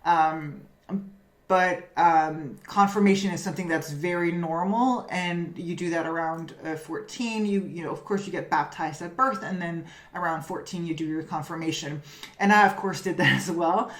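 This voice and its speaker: A woman from Denmark, a spiritual business coach, telling a personal story in a reflective, halting way with many restarts. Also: on the low side. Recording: roomy, echoey room.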